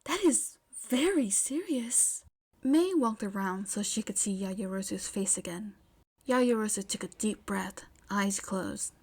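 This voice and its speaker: A woman's voice: medium pitch, measured pace, a little mellow. A woman reading scripted fiction aloud as an amateur audiobook narrator.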